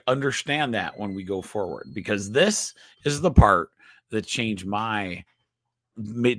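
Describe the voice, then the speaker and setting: A nasal, somewhat low-pitched man explaining health topics to a live online audience. He speaks haltingly, restarting his phrases and tagging lines with quick check-ins. The sound is close and dry, with no room echo. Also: fairly bright tone. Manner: halting, frequent restarts, checks in with "okay?" and "right?". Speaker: chiropractor host.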